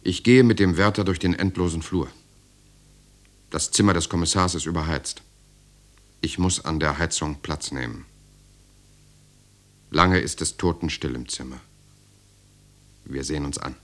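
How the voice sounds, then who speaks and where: An actor playing a weary, defiant political prisoner in a German radio drama, speaking in a grave, measured, inward way. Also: male voice, extremely low pitch. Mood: grave narration. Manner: grave, measured, inward, sometimes dropping to a murmur. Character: weary, defiant male political prisoner recalling his interrogation. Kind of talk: 1970s German radio drama, inner monologue and dialogue.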